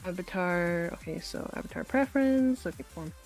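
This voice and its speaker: Woman doing casual game roleplay, kinda hesitant n giggly, lively voice, music in the back. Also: fairly low pitch, fairly quick. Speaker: role-play gamer. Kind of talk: casual improvised role-play chat in a game.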